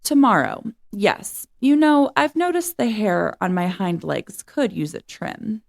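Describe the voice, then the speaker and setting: A woman's voice: medium pitch, lively intonation, a little slow, gravelly. A woman voicing a vain, self-absorbed female show-dog diva in a storybook, with a preening manner.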